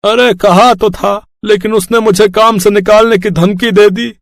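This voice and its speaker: A man's voice: high-pitched, fairly even intonation, measured pace, no echo, studio quality. A man voicing a downtrodden poor labourer husband in a moral story, with a folksy manner. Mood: sheepish.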